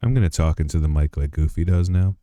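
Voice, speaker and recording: A man with a deep voice co-hosting a casual podcast, joking and swearing offhandedly, sitting a little back from his microphone. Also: quick pace, warm tone, no room sound, clean studio sound. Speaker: podcast co-host. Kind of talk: casual chat. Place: home studio, streaming live.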